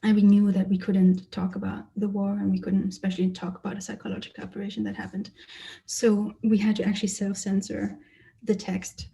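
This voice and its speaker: A woman who grew up in Germany, an artist giving a lecture about her exhibition and speaking thoughtfully with frequent pauses and fillers. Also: mid-range voice, level tone, creaky, dark tone. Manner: hesitant, many fillers and restarts, thoughtful. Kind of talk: lecture presentation. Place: lecture or online presentation.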